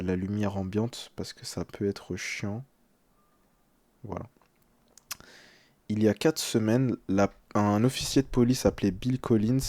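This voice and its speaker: A man's voice: fairly low pitch, warm tone, no echo. A young man speaking as a streamer in casual live reaction, translating text aloud with slangy, hesitant, uneasy commentary.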